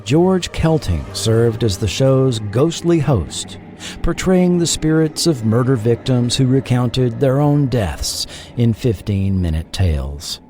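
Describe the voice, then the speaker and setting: A man reading scripted documentary narration in a measured, dramatic storytelling manner. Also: mid-range voice, expressive, dark tone. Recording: music bed under the voice. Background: background music.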